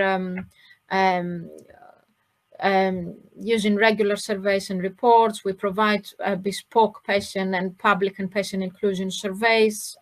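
A woman with a Greek accent presenting her charity's services on a webinar over a video call, hesitant with many fillers and restarts. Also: medium pitch.

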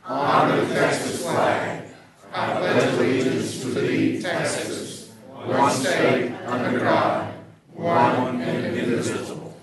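A man leads a pledge in a very slow, deliberate recitation from far off. A loud crowd speaks along with him, and a heavy echo fills the hall. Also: mid-range voice, lively intonation. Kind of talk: leading the pledge. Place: large county courtroom, indoors. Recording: distant voice in a crowded echoing hall.

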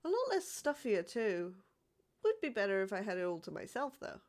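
A man's voice: sits high, wildly swooping pitch, normal speed. A man playing a bashful young catboy demon who feigns toughness, stammering and pouting in an intimate roleplay audio. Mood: sleepy, pouty. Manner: hesitant, stammering, pouty, softly sighing.

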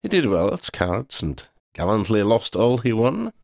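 A man's voice: lowish voice, sing-song, measured pace, creaky, darkish tone, dry recording, clean studio sound, pitched above the performer's own voice, heavily acted. A man voicing a proud, lordly talking male cat in a storybook, with pompous, formal wording. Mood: lordly, playful. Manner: pompous, formal wording with Scottish turns of phrase.

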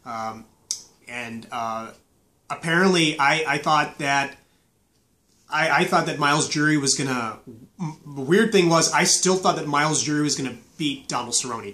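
A man's voice: on the high side, bright, forceful tone. A man in his thirties, stuffy from a flu, casually reviewing fights with many fillers and restarts, in a small room on a webcam.

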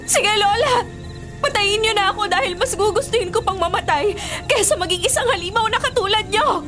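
A woman's voice: very high-pitched, a little fast, over music. A woman playing a frightened, tearful young granddaughter in a melodramatic radio drama. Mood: desperate. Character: frightened, anguished young granddaughter, pleading and brave. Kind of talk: Filipino radio drama acting. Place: small padded radio recording booth. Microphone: studio condenser mic with pop filter.

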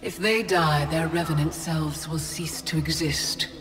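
A deep female voice with cold authority as an imperious titan of time in a video game. She speaks formally and commands with measured control, over clear dramatic music. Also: fairly even intonation. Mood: cold, commanding. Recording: loud music behind.

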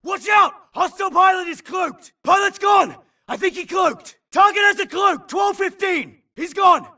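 Gravelly, frantic battlefield grunt. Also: male voice, extremely high pitch, measured pace, sharp tone, raised voice, recorded from a distance, exaggerated voice. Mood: frantic, urgent. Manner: urgent, clipped military callouts. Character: frantic male futuristic infantry grunt in battle. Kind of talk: shouted video game combat barks.

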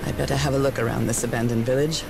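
A woman's voice: extremely low pitch, steady tone, very fast, gravelly, low-quality recording. A plucky woman explorer from the 1930s, thinking aloud in a video game. She is curious and full of wonder, speaking to herself over prominent background music. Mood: intrigued. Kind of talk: video game voice acting, narrating thoughts aloud.

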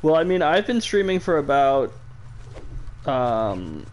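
A man's voice: fairly high pitch, very animated, takes it slowly. A young man casually commentating a video game stream, sarcastic and self-deprecating, swearing now and then.

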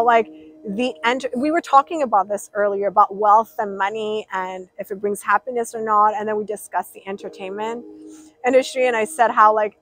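A woman's voice: mid-range voice, a bit bright, no echo, low-quality recording. A young woman livestreaming outdoors by a pool, rambling casually and reading out viewers' names. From Persian.

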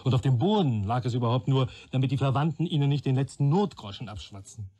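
An actor playing a keen young police trainee in a German radio play, arguing in a wry, sardonic way. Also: male voice, medium pitch, lively intonation, quick pace, warm tone, close to an everyday voice. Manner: wry, argumentative.

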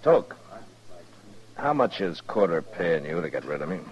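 An actor playing a grim, steady frontier marshal in a 1950s radio western, speaking tersely and bluntly. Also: male voice, sits low, measured pace. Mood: controlled, firm. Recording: muffled, noisy 1950s radio recording.

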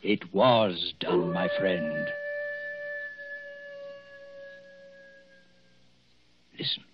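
An actor playing a courteous, ominous English brain doctor in 1940s radio drama, speaking formally and hesitantly with dramatic intensity. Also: male voice, low voice, slow pace, over music. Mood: urgent, ominous. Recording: muffled vintage 1940s radio broadcast.

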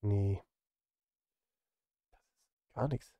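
A man's voice: on the low side, very slow, no echo, phone-quality sound. A middle-aged man chatting casually on a live stream as a music streamer, thinking aloud and grumbling at himself, close on a studio mic. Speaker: streamer and film music composer. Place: home studio, indoors. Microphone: close studio microphone.

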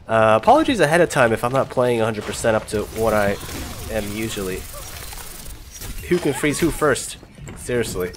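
A man's voice: on the low side, very animated, normal speed, pauses often. A young man with a stuffy, congested voice, chatting casually and swearing now and then as he plays. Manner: chatty, laughing, swearing at close calls. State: congested from a cold. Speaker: gaming channel host. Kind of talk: casual gameplay commentary. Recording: music playing clearly underneath. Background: game music and sounds.

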